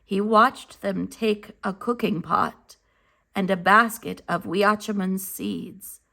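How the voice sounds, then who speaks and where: A woman reading a children's picture book aloud as a teacher, enunciating carefully and deliberately. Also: mid-range voice, steady tone, gravelly, bright, forceful tone. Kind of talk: slow, expressive children's read-aloud narration.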